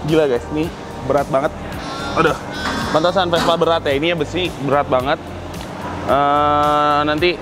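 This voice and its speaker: A young man from Indonesia giving a casual, slangy walk-through of his custom workshop, laughing often. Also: a little high. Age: young adult. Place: large indoor car workshop.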